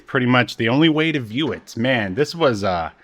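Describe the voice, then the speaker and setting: Guy cohosting a podcast, avg pitched voice, kinda rambly and dry humored, pokes fun at himself. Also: lively intonation, moderate pace, a bit bright. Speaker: podcast host. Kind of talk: casual chat.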